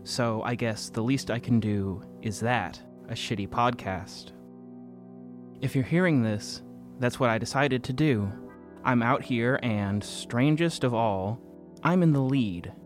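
Audio drama lead: male actor as a sardonic, guarded young guy, mid-pitched, confessional, music underneath. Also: a little slow, natural voice. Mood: wry confession. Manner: dry, self-deprecating, sardonic. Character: wry, guarded young man confessing about a secret online game. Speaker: narrator and protagonist of an audio fiction podcast. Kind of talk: first-person confessional podcast narration, conversational. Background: instrumental music.

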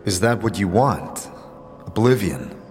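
A man playing a scarred adventurer in an audio drama, hesitant, measured and gently sympathetic. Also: fairly low pitch, animated, in a large hall. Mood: calm, probing. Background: music.

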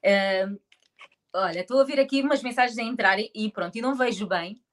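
A woman's voice: fairly high pitch, a little fast. Chatty, playful woman in her forties. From born in Angola, grew up in Portugal. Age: 40s. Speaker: interview guest. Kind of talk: casual chat.

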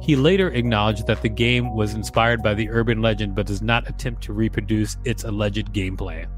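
A man's voice: lowish voice, steady tone, brisk, faint music behind. A man co-hosting a podcast, reading a scripted encyclopedia-style article aloud.

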